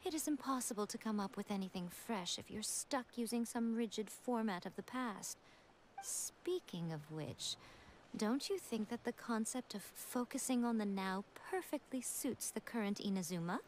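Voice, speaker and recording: An actress playing a sly, elegant female fox-spirit priestess who talks in a smooth, teasing and regal way. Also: a little high, expressive, moderate pace, a little hiss or hum, lightly acted, low-quality recording. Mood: persuasive. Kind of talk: game cutscene voice acting. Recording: game audio with soft music behind. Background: music.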